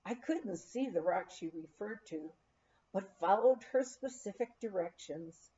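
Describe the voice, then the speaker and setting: A woman narrates a memoir at an unhurried pace, her voice soft-edged and mellow, pitched a little high. She tells it as a measured, expressive story read aloud, on a slightly dull recording. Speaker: audiobook reader.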